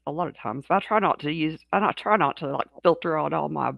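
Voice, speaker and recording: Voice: mid-range voice, quick pace, creaky, dry recording, highs cut off. A co-host in a casual talk show, speaking frankly in a rambling way with many restarts. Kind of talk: casual chat.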